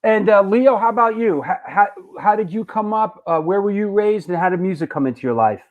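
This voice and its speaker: Joking high-voiced New host. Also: male voice, expressive, fairly quick, narrow, tinny audio. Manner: loose, joking, repeats himself. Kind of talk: live show chat.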